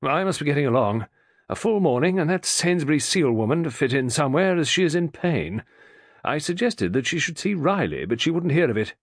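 A man's voice: on the high side, sing-song, brisk, no room sound, studio quality, voice pushed up. A man voicing a small, pugnacious, bad-tempered middle-aged dentist who grumbles and complains. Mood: irritable. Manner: peevish, complaining.

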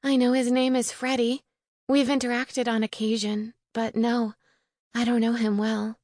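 A woman's voice: fairly high pitch, flat delivery, a little slow, a little mellow, no echo, studio quality, voice pushed up, lightly acted. A woman voicing a frightened, tearful, innocent young witch in an audiobook, earnest and anxious. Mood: upset.

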